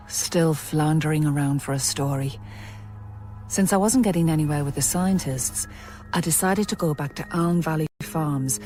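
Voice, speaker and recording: Low-voiced, mellow woman journalist. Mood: wry, reflective. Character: dogged woman freelance journalist and tired mother. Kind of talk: radio drama acting with first-person narration.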